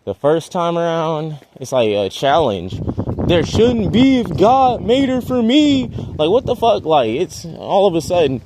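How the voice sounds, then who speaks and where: A man's voice: on the high side, very animated, measured pace, background noise. A man, rambling restlessly in a vlog while out in a residential neighborhood, often trailing off. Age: 20s. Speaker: vlogger.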